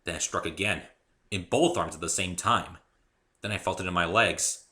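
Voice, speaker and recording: Male amateur narrator, low and very sharp-toned, theatrical scripted delivery with emphatic phrasing. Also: moderate pace. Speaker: amateur author reading own story. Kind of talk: dramatic reading, scripted narration.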